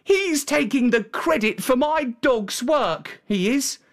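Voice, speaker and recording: A man's voice: very high voice, animated, slow pace, pitched above the performer's own voice, full character voice. A man voicing a plucky, indignant young shepherd boy with rustic, ungrammatical speech in a storybook tale.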